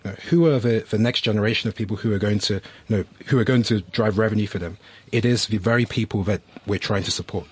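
A man's voice: lowish voice, steady tone, quick pace, slightly rough. A man who grew up in the UK, speaking as an entrepreneur on a discussion panel in a thoughtful, explaining way. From United Kingdom. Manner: thoughtful, explanatory, frequent "right?" tags.

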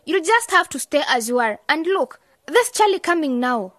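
A woman's voice: sits high, sing-song, normal speed. An actress playing an eager, image-conscious young woman in a radio drama. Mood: earnest.

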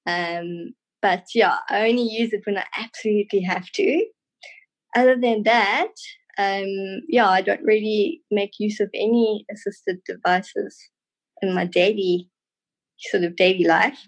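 A woman's voice: medium pitch, steady tone, a little slow, breathy edge, poor audio. A thirty-year-old woman with a South African accent, answering interview questions casually and a little hesitantly. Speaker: podcast guest, trained tour guide.

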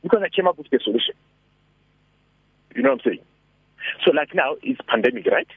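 A man with a raspy voice, a radio guest giving animated, persuasive motivational talk by phone. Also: sits high, fairly quick, no room sound. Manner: animated, persuasive, asks rhetorical questions. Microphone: telephone. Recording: tinny dry phone line.

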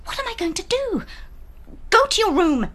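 Woman acting a high-voiced, dramatic storybook mum. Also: moderate pace, heavily acted. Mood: exasperated. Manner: dramatic, expressive, motherly. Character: exasperated but loving young boy's mother in storybook. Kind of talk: children's storybook character acting.

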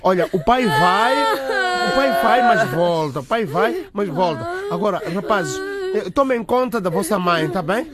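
A man's voice: sits high, expressive, measured pace. A man acting as an anxious, pleading father in a radio drama, stammering and repeating himself. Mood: shaken, comforting. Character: anxious pleading father, arrested in front of his family. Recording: loud background noise.

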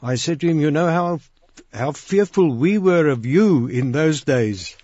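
A man hosting an interview programme, speaking haltingly with frequent pauses. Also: on the high side, animated, darkish tone, husky. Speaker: radio interview host. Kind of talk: missionary interview programme with anecdotes. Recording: muffled, roomy radio recording.

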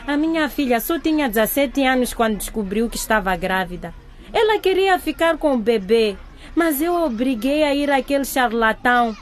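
A woman's voice: on the high side, lively intonation, normal speed, close to an everyday voice. A woman playing a middle-aged grieving mother in a radio drama, frank, emphatic and earnest. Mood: grief-stricken. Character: grieving mother, blunt, guilt-ridden, advising a younger woman.

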